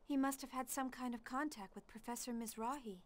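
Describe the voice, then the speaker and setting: An actress playing an earnest, intelligent young scientist in an English-dubbed video game, speaking precisely. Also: female voice, high voice, brisk, close to an everyday voice. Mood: resolute, somber.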